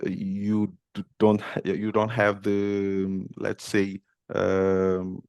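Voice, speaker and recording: A man's voice: on the low side, monotone, slow, gravelly, a little mellow, clear, resonant tone, no echo. A man from Cape Verde, a podcast guest, talking casually and hesitantly, with many fillers and restarts. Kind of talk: casual interview.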